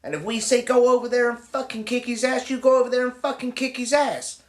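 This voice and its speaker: An actor playing a brash, crude young American man who is the president's son, talking loud, profane and full of swagger. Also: very high voice, brisk, recorded from a distance. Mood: ranting. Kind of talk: mockumentary comedy acting. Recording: roomy echo, off-mic.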